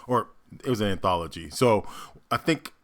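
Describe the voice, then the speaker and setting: A man's voice: lowish voice, animated, moderate pace, fairly bright tone. A man hosting a reaction video, giving casual commentary and thinking aloud in loose asides and interjections.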